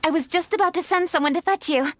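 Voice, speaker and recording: Young female official, high voice, brisk, practical, articulate, fantasy game, music behind. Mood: brisk, thoughtful. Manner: businesslike, articulate. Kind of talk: scripted fantasy game dialogue.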